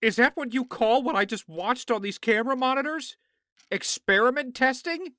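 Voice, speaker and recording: A man's voice: extremely high pitch, normal speed, pushed and bright, dry recording, studio quality. A man playing a horrified business partner with a troubled conscience in an audio drama, indignant, pleading and emotional. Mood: accusing.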